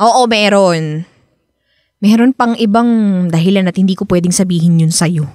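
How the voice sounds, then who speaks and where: A woman's voice: mid-range voice, takes it slowly, a little mellow, dry recording, studio quality, close to an everyday voice. An actress with a Filipino accent playing a reluctant, evasive young woman who hides her feelings, in a radio drama. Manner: hesitant, exasperated, sighing. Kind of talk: Tagalog radio drama acting.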